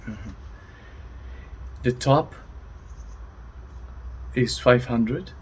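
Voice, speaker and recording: A man's voice: mid-range voice, extremely slow, breathy edge, low-quality recording. A man co-presenting, reporting readings in brief, matter-of-fact statements. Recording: background ambient noise.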